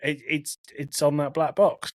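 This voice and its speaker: A man's voice: on the high side, level tone, a little slow, no room sound, studio quality. A man hosting a podcast, talking casually about films in a conversational style. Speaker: podcast host. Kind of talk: casual film discussion.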